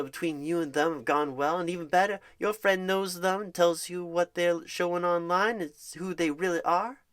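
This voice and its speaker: A mid-pitched voice performs an ASMR roleplay as a happy job recruiter and career coach. The delivery is encouraging and wordy, explaining things at length. Pet names soften the talk throughout. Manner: reassuring, wordy, uses pet names like darling and honey. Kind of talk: ASMR roleplay, one side of a phone screening.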